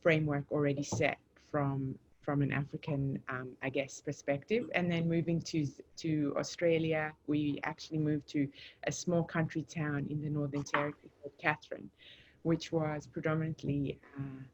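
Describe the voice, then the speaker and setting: A woman from Zambia, a guest telling stories in a relaxed casual conversation over a video call. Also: deep voice, normal speed, lo-fi sound, a little hiss or hum. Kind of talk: casual live-stream conversation.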